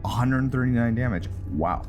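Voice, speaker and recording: A man's voice: mid-range voice, animated, moderate pace. A man giving casual let's play commentary, thinking aloud in short, muttered reactions as he plays. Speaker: gaming video host. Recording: loud music under the voice. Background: loud game music.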